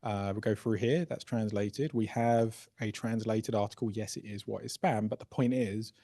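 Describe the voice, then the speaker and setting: A man presenting a software workshop, casually explaining a live demo and often checking in with "right?" and "okay?". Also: lowish voice, steady tone, moderate pace. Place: workshop room.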